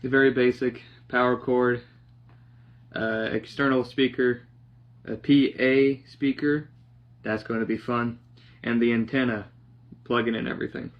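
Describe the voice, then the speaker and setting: A young man casually narrating a hands-on project, half talking to himself in a halting mutter as he works in a living room. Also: medium pitch, steady tone. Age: young adult. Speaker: DIY vlogger. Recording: dull lo-fi sound, clear background noise.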